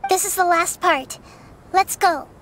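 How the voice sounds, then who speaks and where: A woman voicing a small childlike goddess in a video game, speaking in a gentle, measured, earnest way. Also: very high-pitched, a little hiss or hum. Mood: earnest, resolute.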